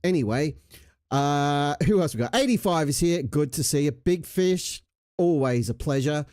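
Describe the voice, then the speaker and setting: A man's voice: on the high side, expressive, normal speed, a little mellow, no room sound, studio quality. An Australian man hosting a casual livestream, laid-back, jokey and sweary, getting over a chest infection. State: recovering from a chest infection with a lingering cough. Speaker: livestream host.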